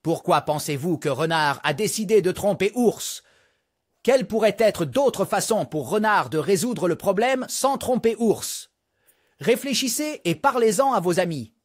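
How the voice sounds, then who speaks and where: Male children's storyteller, lively sing-song delivery, bright high register. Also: a little slow, no echo, clean studio sound. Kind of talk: bedtime story narration for toddlers.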